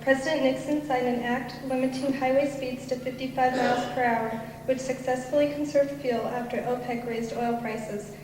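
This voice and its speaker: A woman's voice: sits high, fairly even intonation, moderate pace, hardly any pauses, darkish tone, slight background noise. A woman reading a prepared speech formally to an audience, heard from a distant mic in an echoey hall. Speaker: student presenter.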